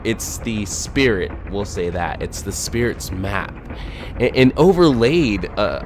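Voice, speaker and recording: A man's voice: on the low side, noisy background. A man hosting a casual solo podcast about a video game, thinking aloud in a rambling, hesitant way and sounding a bit phlegmy from a recent illness. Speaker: podcast host. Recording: voice over loud background music.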